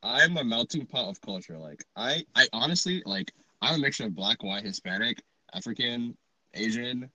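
A man's voice: mid-range voice, animated, moderate pace, pushed and bright, dry recording, low-quality recording. A young man from Texas hosting a casual podcast chat, playful and joking, laughing often. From Dallas, Texas. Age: young adult. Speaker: podcast host.

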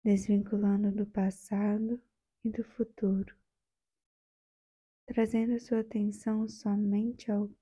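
A woman with a Brazilian accent leading a guided meditation in a soft, soothing, gentle way, leaving long pauses. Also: mid-range voice, flat delivery, husky.

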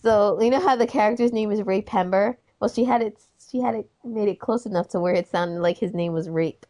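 A woman's voice: mid-range voice, brisk, warm tone. A woman in her twenties chatting casually, rambling through stories and giggling often. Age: 20s. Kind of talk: casual chat.

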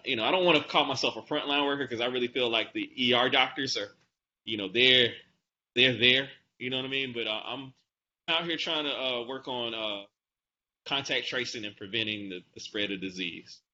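Guy in his 40s, really bright forceful voice, chatting as interview guest, bit muffled with faint noise. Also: mid-range voice, a little fast. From Atlanta, Georgia, United States. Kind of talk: conversational interview answers.

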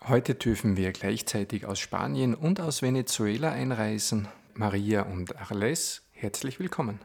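A man hosting a podcast, giving a formal introduction in a measured, even way. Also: on the low side, vocal fry, faint music behind. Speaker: podcast host. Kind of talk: scripted introduction.

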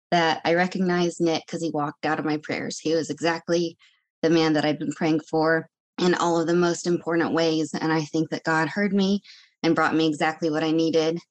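A woman in her thirties, a guest telling her own story in an earnest, reflective way. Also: low-pitched. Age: 30s. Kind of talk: personal testimony.

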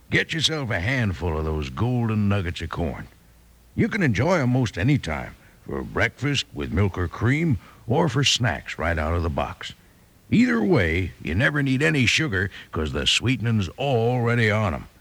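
A gravelly cowboy salesman with a lowish American voice. His delivery swoops dramatically up and down, folksy and enthusiastic, as in a vintage radio commercial. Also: a bit bright, exaggerated voice. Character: folksy old-West cowboy pitchman, enthusiastic. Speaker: radio advertiser.

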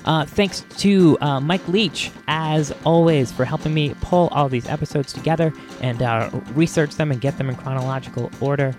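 An American man hosting a podcast, chatting casually to listeners in a halting, informal way and often interrupting himself. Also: fairly high pitch. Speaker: podcast host. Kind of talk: casual solo chat to listeners. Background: faint music.

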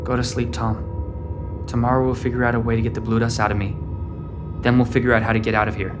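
A man's voice: medium pitch, level tone, fast, lightly acted. A young man playing a stubborn, secretive teenage boy on the run in an audio drama. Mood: evasive, reassuring. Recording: dramatic music underneath.

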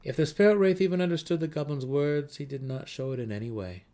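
A man's voice: fairly high pitch, fast talker, dark tone. Man narrating a dramatic fantasy audiobook.

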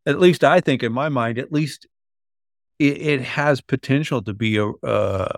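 A man's voice: mid-range voice, a little slow, no room sound. Man musing casually, dry sound. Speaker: podcast host. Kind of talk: casual conversation.

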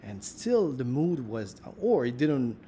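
A man's voice: medium pitch, very animated, takes it slowly, dark tone, slight background noise. A man interviewing a guest in a casual chat, thinking aloud and filling his questions with "like". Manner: rambling, thinks aloud with many "like"s.

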